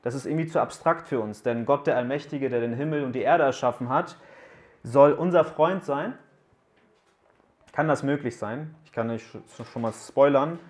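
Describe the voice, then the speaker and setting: A man's voice: medium pitch, normal speed. A man in his thirties gives a casual talk to young people at a camp, joking and asking the audience questions. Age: 30s. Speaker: youth speaker at a camp. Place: room with a live audience.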